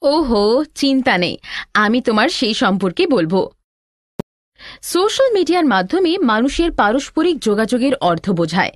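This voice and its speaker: A woman's voice: fairly high pitch, no room sound, clean studio sound. A woman playing a helpful elder sister, giving scripted, reassuring instruction in an educational cartoon. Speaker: tutorial narrator and teacher.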